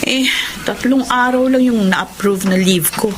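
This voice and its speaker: A woman playing a resentful grown daughter in an emotive, measured radio drama style. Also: on the high side, natural voice. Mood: matter-of-fact. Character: resentful eldest daughter, suspicious of her mother. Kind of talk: Filipino radio drama acting and narration.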